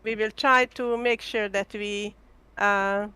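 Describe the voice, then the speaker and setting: A woman's voice: medium pitch, fairly even intonation, takes it slowly, pushed and bright, smooth. A woman from Hungary talking as a guest in a casual chat, hesitant, with many ums and restarts. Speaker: guest artist.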